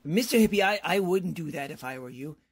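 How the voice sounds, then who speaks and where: A woman's voice: fairly low pitch, animated, fairly quick, breathy edge. A woman playing a flustered, well-meaning bumbler in old-time radio comedy, hesitant and stammering. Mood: cautious.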